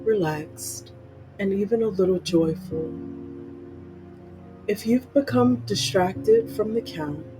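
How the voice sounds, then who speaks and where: A meditation guide reading a scripted guided meditation in measured, instructional, spaced-out phrases. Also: medium pitch, rough recording. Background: plucked guitar music.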